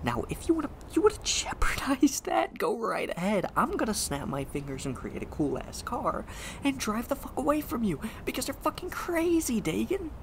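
A woman's voice: a little high, big pitch swings, moderate pace, creaky, slight background noise. A woman acting in an audio drama as a stammering, exasperated, slangy, foul-mouthed superpowered slacker who follows the rules reluctantly. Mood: exasperated, defiant.

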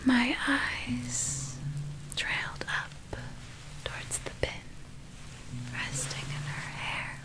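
A woman's voice: deep voice, big pitch swings, extremely slow, long pauses, full character voice, poor audio. A woman voicing an ageless, eerily courteous vampire in a whispered ASMR roleplay, telling tales in formal, old-fashioned storyteller diction. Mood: hushed, reminiscing. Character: ageless vampire recounting past feedings, eerily courteous, possessive.

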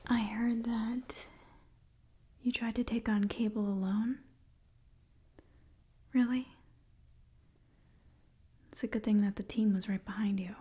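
A woman in her twenties playing a caring, gently scolding doctor in a whispered ASMR roleplay, soft and breathy. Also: medium pitch, a little hiss or hum, close to an everyday voice. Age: 20s. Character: caring, chiding young female doctor to mutant heroes. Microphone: close microphone.

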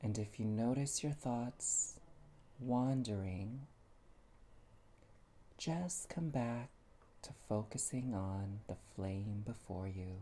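Slow gentle guided meditation voice, dark and mellow, soft breathy endings, faint noise behind it. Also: medium pitch. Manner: soothing, gentle, unhurried with long pauses.

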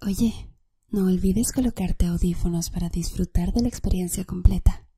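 A woman whispering a channel announcement in a breathy voice. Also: fairly low pitch, normal speed, mellow.

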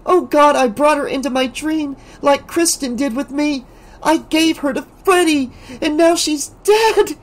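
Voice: mid-range voice, lively intonation, slow pace, darkish tone, pitched above the performer's own voice. A reader voicing a frightened teenage girl in an audiobook, tremulous and pleading. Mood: shaken. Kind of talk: audiobook character voice.

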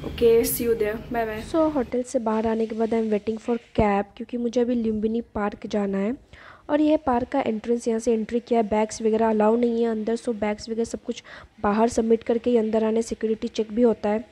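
A woman's voice: on the high side, steady tone, normal speed, dark tone. A young woman, a travel vlogger, chatting casually to camera and mixing English phrases into her talk, recorded on a phone while on the move. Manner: chatty, mixes English into Hindi, frequent fillers. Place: on the move: train, hotel room, outdoor park and lakeside street. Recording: phone recording with traffic and street noise.

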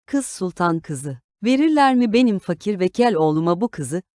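A woman's voice: lowish voice, very animated, moderate pace, warm tone, no room sound, studio quality. A woman voicing a humble, devoted peasant mother in a fairy tale, earnest in manner. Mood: worried, resigned.

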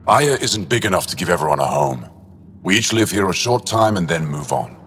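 A resigned alien townsman from a video game. His voice is extremely deep, measured and solemn, with a defensive edge. It rings in a long hall reverb over faint ambience. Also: sing-song, a bit bright, slight background noise. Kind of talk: video game dialogue acting.

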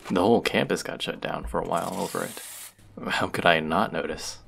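A man's voice: low-pitched, fairly quick, vocal fry, a bit bright, slight background noise, exaggerated voice. A man voicing a friendly, teasing young male barista in an audio roleplay, chatty and playful toward a regular customer.

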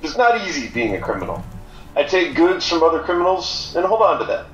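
A man's voice: a little high, big pitch swings, roomy sound, lo-fi sound. Man voicing a theatrical, scheming alien fence. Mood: mysterious, scheming. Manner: halting, riddling, deliberate storytelling. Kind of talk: audio drama acting.

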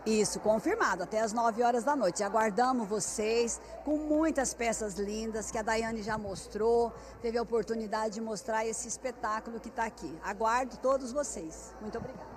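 Brazilian woman, 60s, craft teacher, warm and effusive, higher voice, handheld mic in an indoor hall. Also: moderate pace, few pauses. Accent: Brazilian Portuguese. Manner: warm, emotional, enthusiastic. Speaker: craft teacher, fair organizer. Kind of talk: interview. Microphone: reporter's handheld mic.

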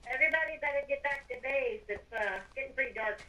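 A woman with a high, bright voice chats casually while gaming. She sounds away from the mic, over a slightly noisy voice-chat connection. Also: fast. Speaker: video game player in a group roleplay. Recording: distant, noisy, phone-like voice chat.

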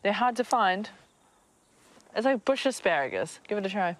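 A woman's voice: mid-range voice, big pitch swings, moderate pace. A woman in her thirties from New Zealand, a chef guiding a forage outdoors, upbeat and encouraging with dry humor. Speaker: chef guide. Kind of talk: casual on-location chat. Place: outdoors in dense forest. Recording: music underneath.

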